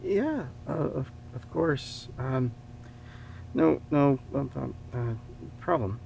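A man plays a timid camp counselor in an audio drama. His voice is gravelly and very slow, flustered and stammering, with faint rumbling noise behind. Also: animated, a little mellow, low-quality recording. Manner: stammering, halting. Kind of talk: horror audio drama acting.

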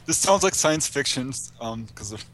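Middle-aged man, mid-pitched, casually recounting. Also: lively intonation, normal speed, fairly bright tone, rough recording. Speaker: interview guest, UFO researcher and author. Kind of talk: casual anecdotal interview talk.

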